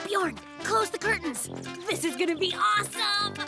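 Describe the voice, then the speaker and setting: An excitable little cartoon bear boy, boastful and bossy as any young inventor. The voice is very high and animated, with music playing behind it. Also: moderate pace, creaky, full character voice. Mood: eager. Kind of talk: children's cartoon dubbing. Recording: music bed under the voice.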